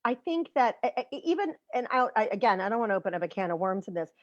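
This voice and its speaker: A woman from Central Texas who hosts the interview, talking and asking questions in a relaxed way over a video call. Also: medium pitch, fast talker, no echo, lo-fi sound. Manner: talkative, asks questions. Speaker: host. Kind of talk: casual interview chat.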